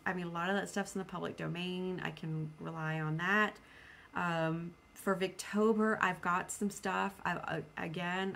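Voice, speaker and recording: A woman's voice: medium pitch, a bit bright, a little hiss or hum. A woman talking casually to her viewers as a vlog host, rambling and thinking aloud as she goes. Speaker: host of a book channel.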